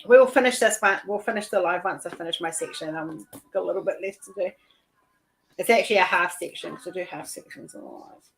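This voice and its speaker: A low-voiced woman chatting on a video call, full of restarts and "like"s as she tells stories. Her tone is relaxed and conversational. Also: fairly quick, gravelly, slight background noise, rough recording. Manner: chatty, storytelling, frequent "like" and restarts. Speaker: livestream host. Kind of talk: casual chat.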